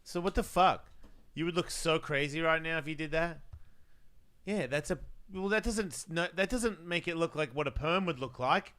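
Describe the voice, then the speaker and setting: A man's voice: fairly high pitch, moderate pace. A middle-aged man with an Australian accent, hosting a show with crude, teasing banter. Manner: loud, teasing, swears a lot. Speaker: radio host.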